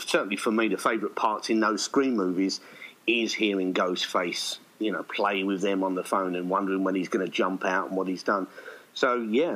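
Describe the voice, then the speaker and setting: A man with a fairly low voice gives conversational interview answers as a theatre writer-director. He explains at length, looping back and rephrasing as he goes. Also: fairly bright tone, low-quality recording.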